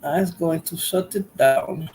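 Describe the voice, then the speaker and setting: A woman's voice: sits low, slow, darkish tone, a little hiss or hum, rough recording. A woman with a Bronx accent hosting a casual livestream chat, laughing often and repeating herself. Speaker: livestream host.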